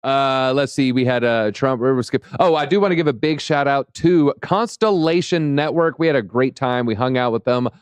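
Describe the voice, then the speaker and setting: A slightly hoarse man hosts a loose crypto chat, rambling and joking between market numbers. His voice is mid-pitched, and the room sounds dry and close. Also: moderate pace, hardly any pauses, dry recording. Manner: playful, rambling, chatty. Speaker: host.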